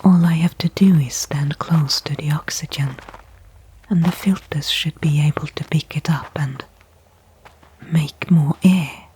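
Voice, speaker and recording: A woman playing a weary stranded astronaut in a whispered ASMR sci-fi roleplay, breathy and hushed, thinking aloud with pauses between thoughts. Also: low-pitched, slight background noise, rough recording. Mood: cautiously hopeful. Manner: slow, soliloquizing, pausing between thoughts. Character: weary stranded female astronaut, anxious, faintly hopeful.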